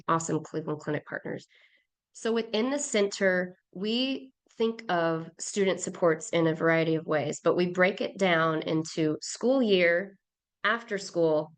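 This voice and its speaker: Hoarse woman presenting on a video call. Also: lowish voice. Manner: upbeat, many fillers and restarts. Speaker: program director. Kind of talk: webinar presentation.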